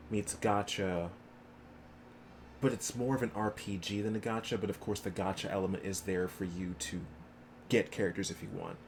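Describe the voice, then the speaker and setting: A man's voice: fairly low pitch, darkish tone, slight background noise. A man livestreaming a game, chatting casually with viewers and commenting as he plays.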